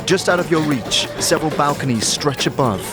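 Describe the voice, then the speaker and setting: A man narrating a fantasy audio drama in the second person, theatrical and deliberate, in short measured clauses. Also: fairly high pitch, animated, over loud music, over a noisy crowd. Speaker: narrator and lead player of an audio series.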